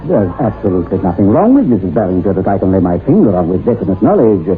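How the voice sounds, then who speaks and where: An actor playing a perplexed physician, halting and formal, in a radio horror drama. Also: male voice, low-pitched, very animated. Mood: baffled, reluctant. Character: perplexed, hesitant male physician. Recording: tinny, hissy old radio drama recording.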